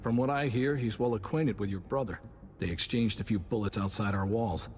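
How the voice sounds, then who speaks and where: An actor playing a plain-spoken, skeptical farm manager in a video game, with a dry, wry, matter-of-fact manner. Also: male voice, fairly low pitch, brisk, a little mellow, a little hiss or hum, close to an everyday voice. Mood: pointed, calm. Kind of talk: naturalistic dramatic game acting. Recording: long reverb, music under the voice.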